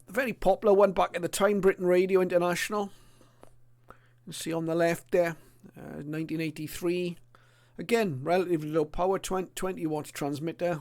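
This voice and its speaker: A middle-aged or older man presenting a casual illustrated hobby talk in a hesitant, unscripted way with frequent pauses. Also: fairly high pitch, vocal fry, darkish tone.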